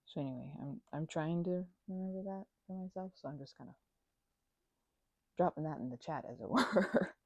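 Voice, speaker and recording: A woman playing a goofy, caring, scatterbrained best friend in a casual ASMR roleplay, with soft, rambling, halting speech. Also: on the low side, no echo. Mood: earnest, subdued. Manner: rambling, halting, self-interrupting, giggly.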